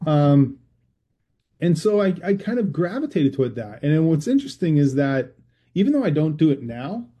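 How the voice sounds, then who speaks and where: A middle-aged man with a Massachusetts accent talks through his background as an interview guest. His voice is very dark and mellow, and he often restarts sentences and winds around to his point. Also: a little high, big pitch swings, moderate pace, slightly rough. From Massachusetts, USA. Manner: rambling, many restarts and fillers. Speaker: guest, public health researcher. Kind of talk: conversational interview.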